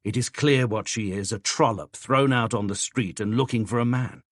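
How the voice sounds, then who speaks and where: A man's voice: medium pitch, normal speed, no echo, studio quality, close to an everyday voice. Man playing a brusque, scornful painter. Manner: scornful, abrupt. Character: suspicious, brusque male painter, distrustful of women.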